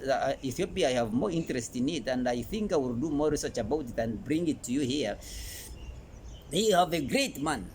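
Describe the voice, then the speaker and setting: A man with a Ugandan accent presenting an educational talk in an emphatic, halting way, often repeating phrases. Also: medium pitch, darkish tone. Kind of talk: informal educational presentation to camera. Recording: noticeable background noise.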